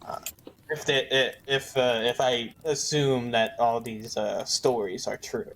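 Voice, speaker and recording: A man's voice: medium pitch, fairly even intonation, measured pace, fairly bright tone. A man playing a tabletop roleplaying game, casually and hesitantly reasoning aloud. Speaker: player. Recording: faint background noise.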